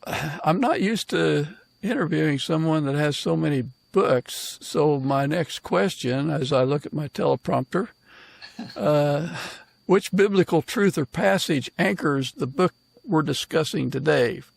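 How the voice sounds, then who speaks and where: A man hosting a casual interview podcast, speaking in an informal, halting way. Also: fairly high pitch, gravelly, no room sound. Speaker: podcast host and author.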